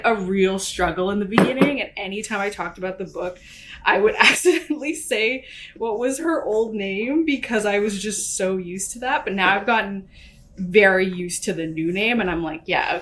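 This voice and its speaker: A woman's voice: medium pitch, a bit bright. A young woman chatting casually while she cooks, laughing often and using lots of fillers. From Canada. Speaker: author and vlogger. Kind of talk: casual chat while cooking.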